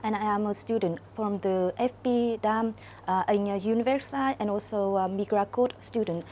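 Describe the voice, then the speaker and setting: A young woman from Vietnam, walking through a demo of her student app in a careful, halting way with many fillers. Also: mid-range voice, darkish tone, slight background noise. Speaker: student intern presenting an app. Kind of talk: screen-recorded app demo walkthrough.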